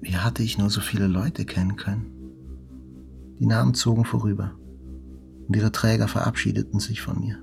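An actor playing a wounded journalist who recalls an attack in a measured, reflective, scripted narration. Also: male voice, on the low side, fairly even intonation, creaky, a little mellow. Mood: sober, reflective. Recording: prominent music under the narration.

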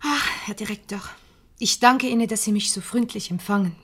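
An actress with a Swiss accent playing an impulsive, aggrieved boss's wife in a radio comedy, theatrical and effusive. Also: female voice, medium pitch, brisk, a bit bright, slightly rough. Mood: effusive, unhappy.

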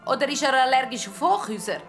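A woman's voice: high voice, animated, fast, fairly bright tone, faint music behind, pitched above the performer's own voice. A woman voicing a cheeky, curious young boy with a Swiss German accent in a children's audio story. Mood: pestering, curious. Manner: inquisitive, pestering. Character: young boy Musa, nosy, cheeky, blunt friend.

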